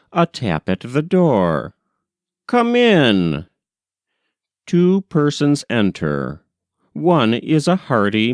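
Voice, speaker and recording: A man narrates a literary tale at an unhurried pace. His pitch moves freely through each sentence, and the recording is close and dry. The delivery is measured and scripted, like an audiobook. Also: no echo. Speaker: audiobook reader.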